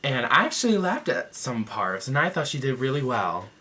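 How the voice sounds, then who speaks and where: A man's voice: medium pitch, sing-song, moderate pace, bright, forceful tone. A young man giving a sassy, profane, gossipy review to camera as a vlogger. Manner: sassy, profane and gossipy, with mocking quoted voices. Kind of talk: casual talk to camera.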